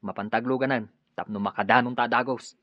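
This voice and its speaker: A man's voice: medium pitch, steady tone, quick pace, dry recording, lo-fi sound, natural voice. A man with a Filipino accent playing an inquisitive, chummy travelling buddy in a radio drama. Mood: curious, casual. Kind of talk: Ilocano radio drama acting.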